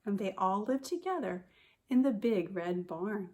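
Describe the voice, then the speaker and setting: A woman kindergarten teacher reading a picture book aloud to young children in a simple, expressive way, speaking to them directly. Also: mid-range voice, a little slow. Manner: addresses children directly with questions and prompts.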